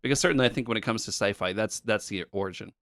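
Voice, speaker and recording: A man with a lowish voice talks casually as a podcast co-host, explaining things in a relaxed way with many fillers. His voice sits close and dry, with no room sound around it. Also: rapid-fire, no echo. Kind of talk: casual chat about retro games.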